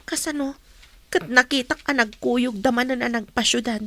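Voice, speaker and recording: A woman's voice: on the high side, moderate pace, sharp tone. A woman playing an anxious, doubtful teenage daughter in an audio drama. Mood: doubtful, questioning.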